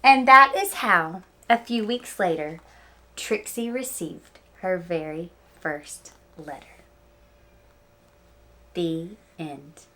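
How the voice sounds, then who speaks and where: A woman teacher reading a picture book aloud to children, with animated emphasis and chatty explaining asides. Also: lowish voice, slow.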